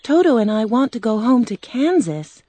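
A woman voicing a young girl in a storybook reading, high-pitched and bold. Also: very animated, moderate pace, warm tone, no room sound, dull audio. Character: young girl, brave and worried.

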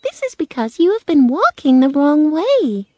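A woman voicing a tiny, kindly queen of the field mice in a storybook, squeaky and singsong. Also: big pitch swings, moderate pace, mellow. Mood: kindly, helpful. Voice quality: squeaky, small.

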